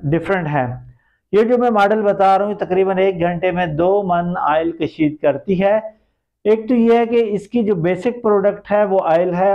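High-pitched Pakistani man presenting a vlog. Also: highs cut off. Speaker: YouTube host. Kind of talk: explanatory business-idea vlog addressed to viewers. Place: small plain indoor room.

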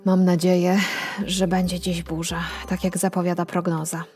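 A woman's voice: fairly low pitch, flat delivery, moderate pace, warm tone, faint music behind, natural voice. Woman voicing a wistful storybook mum. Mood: wistful sighing. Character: wistful, caring mother in a children's story.

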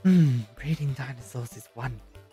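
A man's voice: mid-range voice, moderate pace, mellow, slightly rough, soft background music. A man playing an earnest, politely anxious scientist adventurer in an audio drama, stammering a little. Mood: earnest concern.

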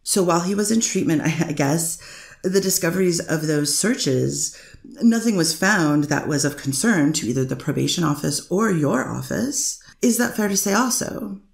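A woman's voice: lowish voice, expressive, normal speed, gravelly, roomy sound. A woman reading the part of a defense attorney cross-examining a witness, probing with frequent fillers. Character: persistent female defense lawyer. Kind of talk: amateur readers theater reading of a court transcript.

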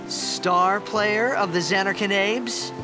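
A man's voice: sits high, takes it slowly, over music, low-quality recording. An actor playing a brash, bewildered teenage male athlete in a video game, with a hesitant, incredulous manner. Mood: confused, incredulous. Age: young adult.

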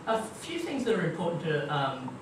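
A man's voice: fairly high pitch, big pitch swings, moderate pace. Australian man presenting in an echoey room. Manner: chatty, many ums and kind-ofs. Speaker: guest speaker at a marketing meetup. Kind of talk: casual tech presentation. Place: dim meeting room with projector screen. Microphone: far room camera. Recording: echoey room, distant camera, noisy.

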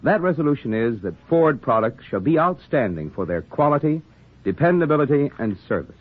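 Heard through a thin old radio recording, a male announcer reads a scripted 1940s commercial. His voice is mid-pitched and husky, with a lot of rise and fall. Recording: muffled, narrow-band 1940s radio recording.

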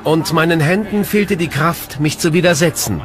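A man's voice: fairly high pitch, moderate pace, close to an everyday voice. An actor playing a frightened Puritan man in his thirties, testifying in a dramatised documentary. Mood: haunted, fearful. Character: frightened thirty-two-year-old Puritan witness tormented at night.

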